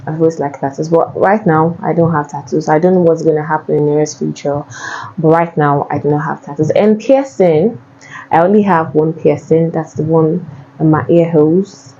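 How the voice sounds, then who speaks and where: A woman's voice: low voice, normal speed, mellow, highs cut off. A young Nigerian woman with a Nigerian accent, answering viewer questions in a casual, chatty way and often repeating herself. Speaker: vlogger.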